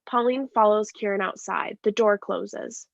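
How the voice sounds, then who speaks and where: A woman's voice: mid-range voice, measured pace, vocal fry, dry recording. A woman reading scripted stage directions as narration, plainly and step by step, with slight stumbles. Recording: dry, slightly dull lo-fi sound.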